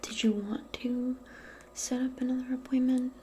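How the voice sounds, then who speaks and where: A woman speaking softly and breathily, often in a whisper, in a gentle, casual ASMR role play as a modern witch. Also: sits high, steady tone, slight background noise. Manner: unhurried, gentle, casual murmuring. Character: modern witch giving a calming spell appointment. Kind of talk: soft-spoken ASMR personal attention role play.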